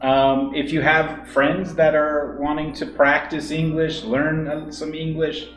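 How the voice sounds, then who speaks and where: A man's voice: on the high side, level tone, measured pace, a bit bright. A man co-hosting an English-teaching livestream, chatting casually and rambling with many fillers and restarts. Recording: roomy echo with faint music.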